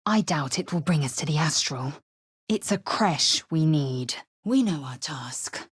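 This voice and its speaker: An actress playing a fierce, haughty young female alien warrior in a video game, with a raspy voice and clipped, imperious, disdainful delivery. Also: sits low, expressive, unhurried, exaggerated voice. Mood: resolute, curt. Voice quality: raspy, husky. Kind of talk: video game character acting.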